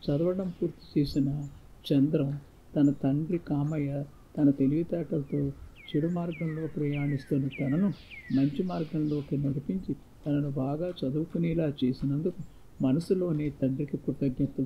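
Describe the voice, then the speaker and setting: A man's voice: a little high, fairly even intonation, moderate pace, slightly rough. A man narrating a scripted moral story as a storyteller. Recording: muffled lo-fi, faint background noise.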